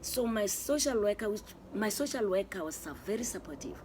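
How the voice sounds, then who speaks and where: An older woman, telling the story of her family in a casual interview, in a measured, earnest way with pauses. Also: medium pitch, expressive, a little mellow. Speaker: adoptive mother, interviewee. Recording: faint background noise.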